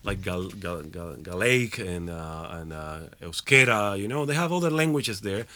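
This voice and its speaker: A man's voice: deep voice, lively intonation, normal speed. A man from Cuba with a Cuban Spanish accent, a musician guest talking in a radio studio, hesitant and full of fillers. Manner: warm, hesitant, many fillers, laughs easily. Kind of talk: casual interview.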